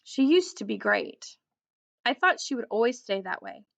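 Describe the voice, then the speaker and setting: A woman reading a children's storybook, voicing a gentle female dog with a wistful manner. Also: fairly high pitch, sing-song, normal speed, vocal fry, exaggerated voice. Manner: wistful, lovely-voiced. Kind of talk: children's storybook read-aloud.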